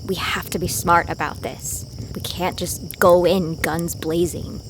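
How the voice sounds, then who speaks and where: A woman's voice: lowish voice, level tone, measured pace, slight background noise, lightly acted. A woman playing a weary, determined young survivor, narrating her ordeal in a grave, introspective audio drama style. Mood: cautious. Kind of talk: first-person scripted audio drama narration. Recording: loud music and sound effects behind.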